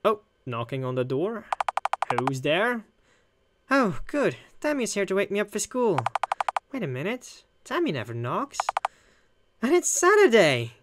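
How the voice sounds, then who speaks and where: A woman's voice: medium pitch, huge pitch swings, measured pace, noisy background. A woman reading as a puzzled young woman who narrates her inner thoughts in a visual novel. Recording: loud music behind the voice.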